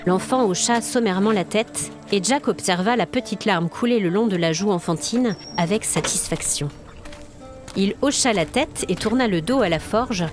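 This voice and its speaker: Woman narrating a dark tale over music. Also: medium pitch. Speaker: storyteller, podcast host. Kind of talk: scripted story narration.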